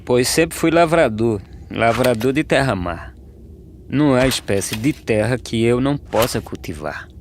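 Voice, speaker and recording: An actor with a Brazilian accent playing a weary, humble, earnest migrant farm labourer in an animated verse drama. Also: male voice, mid-range voice, unhurried, a little hiss or hum, natural voice. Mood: earnest, hopeful. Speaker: narrator and lead character of animated verse drama. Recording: music under the voice.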